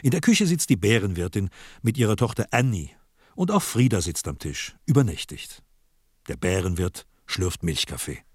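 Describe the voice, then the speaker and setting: A man narrating a radio drama as a vivid storyteller in scripted, measured prose. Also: fairly low pitch, very animated, a little mellow, breathy edge, dry recording, clean studio sound. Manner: vivid, present-tense storytelling.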